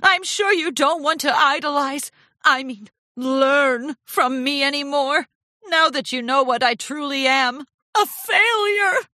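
A woman's voice: extremely high pitch, slow, hardly any pauses, pushed and bright, no echo, studio quality, pitched above the performer's own voice, exaggerated voice. A woman voicing a vain, theatrical fashionista pony for a children's storybook, with refined, affected and easily wounded airs. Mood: despairing, dramatic.

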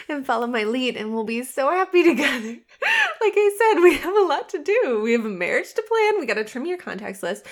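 A young woman playing an obsessive, possessive lover in an intimate audio roleplay, giggly and coaxing, often dropping to a whisper. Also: high voice. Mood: giddy.

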